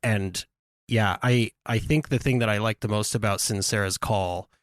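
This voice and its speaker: A man's voice: on the low side, fairly even intonation, normal speed, no echo, clean studio sound. A man co-hosting a podcast, talking earnestly and reflectively, thinking aloud as he goes. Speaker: podcast co-host. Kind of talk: casual podcast discussion.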